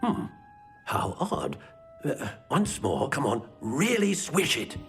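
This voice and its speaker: Slow, absent-minded wandmaker. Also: male voice, medium pitch, huge pitch swings, gravelly, heavily acted. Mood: absorbed, muttering. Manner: muttering, halting, distracted. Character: fussy, absent-minded, kindly male wandmaker shopkeeper. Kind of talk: video game character acting. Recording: music behind the voice.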